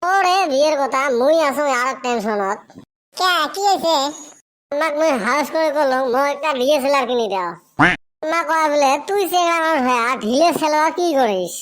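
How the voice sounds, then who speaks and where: A very high, childlike voice put on by a performer for a teasing village youth in a cartoon comedy. The delivery is exclamatory and full of banter. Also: expressive, normal speed, fairly bright tone.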